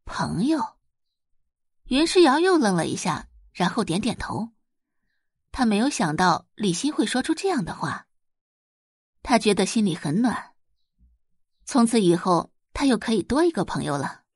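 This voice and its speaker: Woman reading a novel, lively expressive pitch, dry close recording. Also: moderate pace, pauses often, slightly rough, dry recording. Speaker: narrator. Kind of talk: scripted audiobook narration.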